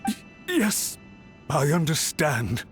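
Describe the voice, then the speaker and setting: An actor playing a nervous, deferential official in a video game, speaking in an apologetic, subservient way. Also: male voice, a little high, huge pitch swings, slow, faint music behind, heavily acted. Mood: anxious, apologetic. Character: deferential, nervous male official under reprimand. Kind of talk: video game voice acting, scripted dialogue.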